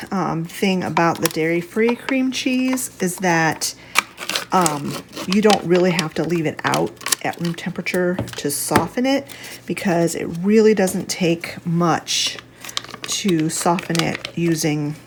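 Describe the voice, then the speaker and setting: A woman talks through a recipe in a fairly low, slightly stuffed-up voice. She is casual and chatty, thinking aloud as a home cook does. The kitchen recording carries some noise behind her. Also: measured pace. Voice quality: congested, slightly stuffy nose sound. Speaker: home cook, video host. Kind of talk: casual cooking demonstration.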